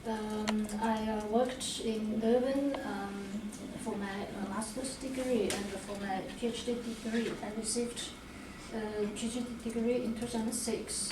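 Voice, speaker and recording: A woman academic, introducing herself haltingly at an informal seminar. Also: fairly high pitch, fairly even intonation. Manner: halting, hesitant delivery. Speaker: academic researcher and teacher. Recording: echoey room, distant mic, loud noise.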